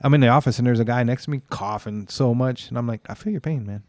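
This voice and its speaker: A man's voice: medium pitch, fast talker, a little mellow, dry recording. A man in his forties co-hosting a casual podcast, rambling through a story and quoting what others said, with a lingering cough. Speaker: podcast co-host. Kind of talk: casual chat.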